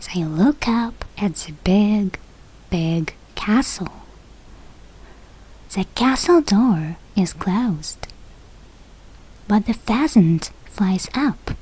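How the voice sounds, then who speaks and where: Female storybook reader, non-native English speaker. Soft-edged husky tone, extremely unhurried, careful delivery with expressive pitch movement. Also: low-quality recording. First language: not English. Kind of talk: slow read-aloud of a children's fairy tale.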